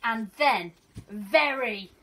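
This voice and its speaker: A middle-aged woman reads a children's story aloud like a teacher, expressive and deliberate, outdoors. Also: a little high. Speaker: first school teacher reading to pupils. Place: small fenced garden, outdoors. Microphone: camera a few metres away. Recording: outdoor camera audio, faint birdsong and noise.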